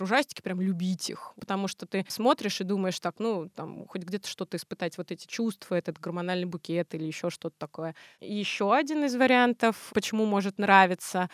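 A woman's voice: medium pitch, no echo. Woman explaining informally, close dry sound. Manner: informal, illustrates points with everyday examples and diminutives. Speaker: guest expert on psychology. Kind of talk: conversational explaining in an interview.

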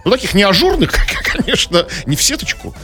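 Quick, lively male radio co-host. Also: high voice. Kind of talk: casual comic morning radio banter. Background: music.

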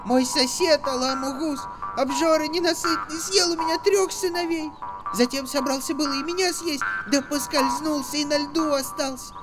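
Guy doing an old fairy tale grandma, high and wailing, feels sorry for herself, music under it. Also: fairly even intonation, moderate pace. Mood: woeful, plaintive. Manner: plaintive, moaning lament. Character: lamenting frightened old woman, mother of three.

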